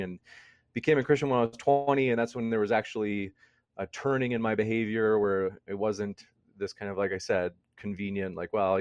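Lower-voiced man in his thirties, dry sound. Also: no room sound. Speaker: interview guest, debate channel host. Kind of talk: conversational personal testimony.

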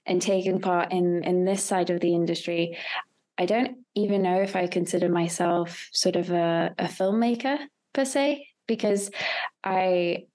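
A woman's voice: on the low side, fairly even intonation, measured pace, mellow. A young woman giving casual interview answers as a filmmaker, thoughtful and hesitant as she searches for words. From Hungary. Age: young adult. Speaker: interview guest, experimental filmmaker.

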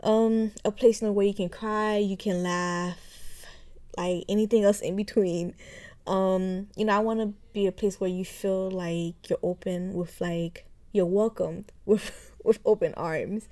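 A woman in her twenties with a mellow, warm voice hosts a podcast, talking casually to her listeners. Her talk is chatty and loose, full of "like" and "um". Her pitch sits in a middle range for a woman. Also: measured pace. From Haiti. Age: 20s. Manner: chatty, many fillers like "like" and "um", frequent light laughs. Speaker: podcast host. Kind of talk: casual podcast intro monologue.

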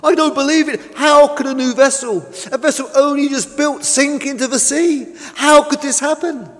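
A man's voice: high voice, sing-song, brisk, big-room sound, pitched above the performer's own voice. A man voicing a protective, down-to-earth tugboat in a children's story, speaking emphatically in everyday words. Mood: disbelieving. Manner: emphatic, colloquial.

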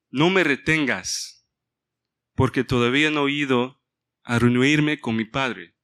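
Bright-voiced man leading a Bible study. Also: medium pitch. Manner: mixes in English words, stops to ask the group for help. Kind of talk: informal Bible study sermon.

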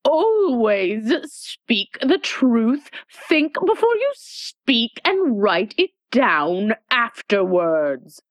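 Woman putting on a sharp imperious storybook queen. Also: a little high, big pitch swings, no echo, voice pushed up, full character voice. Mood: scolding. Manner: sharp, slow, scolding. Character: imperious stern older queen, sharp-tongued.

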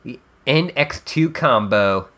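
A man voicing a chatty, flippant teenage girl in a webcomic dub, with wry, drawn-out emphasis. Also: medium pitch, lively intonation, a bit bright. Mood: wry, playful. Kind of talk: character dub reading of a webcomic.

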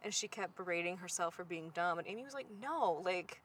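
A relaxed woman recounting a film in easy conversation, chuckling and agreeing along the way. A faint hiss of noise sits under her voice. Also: fairly low pitch, brisk. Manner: easygoing, laughs often, frequent "yeah" and "like". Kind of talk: casual movie recap chat.

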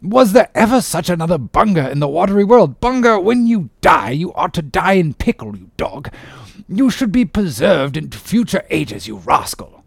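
A man's voice: high voice, sing-song, moderate pace, vocal fry, breathy edge, dry recording, clean studio sound, voice pushed up, full character voice. A man voicing a hearty, jovial English whaling captain in a storybook, full of teasing bluster and exclamations. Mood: mock scolding. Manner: exclamatory, ribbing, boisterous.

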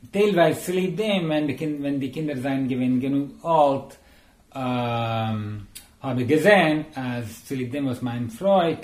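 A man whose first language is Tamil talks in a relaxed group discussion. He stops often to search for words, in a middle-pitched voice. The room gives his voice a noticeable echo. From India.

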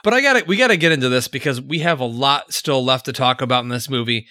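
A man's voice: mid-range voice, quick pace, bright, forceful tone, no echo, studio quality. A man in casual podcast chat, speaking in a confident, opinionated way and teasing his co-hosts.

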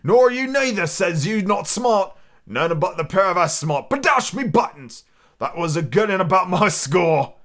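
A man's voice: high voice, animated, moderate pace, fairly bright tone, voice pushed up. A man voicing a hearty, cunning old one-legged sea cook in salty sailor dialect, full of theatrical exclamations. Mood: hearty, earnest.

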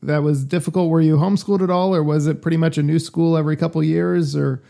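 Gravelly, mellow-voiced male interviewer. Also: fairly high pitch, steady tone, fast talker. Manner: encouraging, with short affirmations. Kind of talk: conversational interview.

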